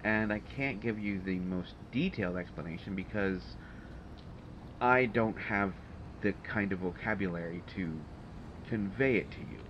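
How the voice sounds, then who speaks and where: A man's voice: on the low side, unhurried, lightly acted. A man playing a testy, sardonic supervillain genius in an audio roleplay, speaking in a dry, haughty, hesitant way with exasperation. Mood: puzzled, exasperated. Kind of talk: intimate audio roleplay monologue to a listener. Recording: dull, noisy home recording.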